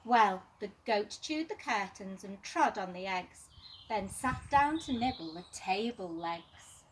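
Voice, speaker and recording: Animated, slow-paced woman reading a storybook. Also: mid-range voice, a little hiss or hum, low-quality recording. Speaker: storytime reader at a primary school. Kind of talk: expressive children's storybook narration.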